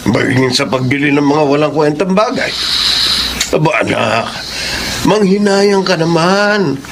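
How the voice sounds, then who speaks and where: A man's voice: a little high, expressive, unhurried, vocal fry. An actor with a Filipino accent playing a stern, thrifty father in a radio drama, admonishing his grown son. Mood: reproachful. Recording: noisy radio drama recording.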